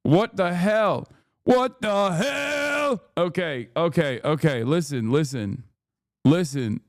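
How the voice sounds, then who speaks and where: A Texan man in his thirties riffing on a live stream, excitable and foul-mouthed as he rambles from one thought to the next. His voice is smooth and resonant, recorded close and dry with no room around it. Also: on the high side, takes it slowly, dry recording. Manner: hyped, profane, rambling banter. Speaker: streamer host.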